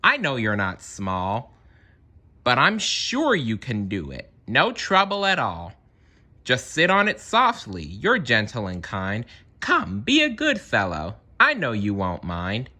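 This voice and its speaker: A man's voice: mid-range voice, wildly swooping pitch, takes it slowly, pushed and bright, heavily acted. A man voicing a lazy, flighty female bird from a children's storybook, sugary and coaxing in a sing-song way. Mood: flattering.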